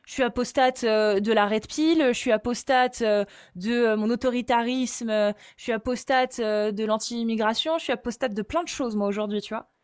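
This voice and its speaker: Une femme qui anime une conversation sur la religion et qui pose des questions insistantes, en réfléchissant à voix haute avec des hésitations. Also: female voice, fairly high pitch, normal speed, few pauses, no room sound, clean studio sound. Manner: questioning, insistent, thinks aloud with fillers. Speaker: host.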